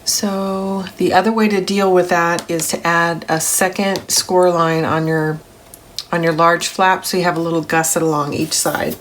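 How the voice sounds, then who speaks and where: A middle-aged woman, a little gravelly from grogginess, hosting a papercraft tutorial in a chatty, step-by-step way. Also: lowish voice, level tone, a little slow, fairly bright tone, slight background noise. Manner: chatty, step-by-step, self-correcting. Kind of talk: crafting tutorial voice-over.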